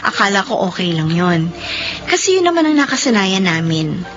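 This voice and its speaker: An actress playing a hardworking company officer and daughter in an expressive radio drama style. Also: female voice, medium pitch, moderate pace. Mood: regretful. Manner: expressive, code-switching between Filipino and English. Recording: muffled radio drama with music bed.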